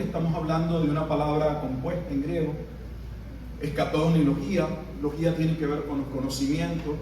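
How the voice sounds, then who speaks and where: A middle-aged man from Puerto Rico, with a Puerto Rican Spanish accent, giving a measured teaching sermon at a conference, with pauses and fillers. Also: on the high side, a little mellow, a little hiss or hum. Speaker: pastor. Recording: echoey room, mic at a distance.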